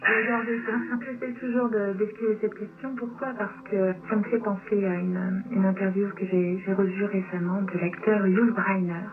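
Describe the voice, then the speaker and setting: A woman writer answering interview questions in a thoughtful, halting way, pausing between phrases. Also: flat delivery. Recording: tinny, noisy low-bitrate shortwave radio.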